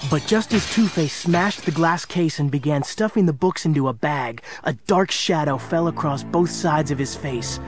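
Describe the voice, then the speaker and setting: An actor playing the dramatic storyteller of a superhero adventure, reading scripted narration. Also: male voice, a little high, moderate pace, few pauses, over music, close to an everyday voice.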